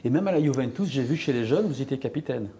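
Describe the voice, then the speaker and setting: A male sports interviewer with a mid-pitched, mellow voice puts short, direct questions to his guest. He follows up on answers and presses for detail. Faint hiss sits behind him. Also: animated, fast.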